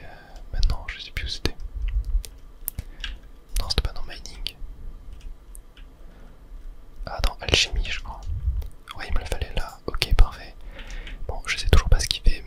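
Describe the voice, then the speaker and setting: A person whispering in a breathy ASMR style while commentating on a video game, rambling and correcting themselves with little mouth-sound fillers. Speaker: gaming video host.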